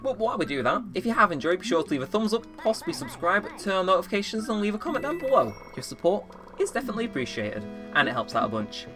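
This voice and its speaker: A man's voice: sits high, big pitch swings, fast talker, hardly any pauses. An eighteen-year-old man chatting casually through a let's play, rambling and poking fun at himself. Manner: rambling, self-mocking, chatty. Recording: game music playing under the voice. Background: game music.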